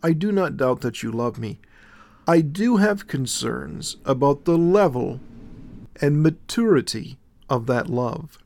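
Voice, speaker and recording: A man voicing a calm, gentle divine figure who speaks in measured, tender tones. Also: mid-range voice, gravelly.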